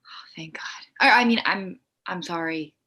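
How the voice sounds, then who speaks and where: A woman's voice: fairly low pitch, normal speed, sharp tone, breathy edge. A woman playing an awkward, apologetic sixteen-year-old girl in a comedy script read. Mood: awkward, relieved.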